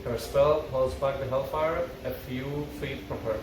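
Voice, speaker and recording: Guy reading script directions out loud, far from the mic, noisy background. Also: medium pitch, steady tone, a little slow. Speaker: script narrator. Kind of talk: reading stage directions at a table read, with informal asides. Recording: echoey, distant mic, clear background noise.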